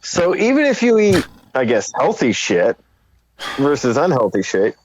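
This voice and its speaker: A man's voice: mid-range voice, huge pitch swings, measured pace, a little hiss or hum. A man co-hosting a podcast, talking casually on a slightly dull recording. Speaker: podcast co-host.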